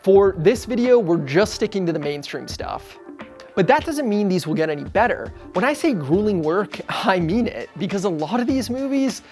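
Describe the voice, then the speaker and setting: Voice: mid-range voice, very animated, normal speed, darkish tone. A narrator reading a scripted video essay in a casual, conversational way. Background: background music.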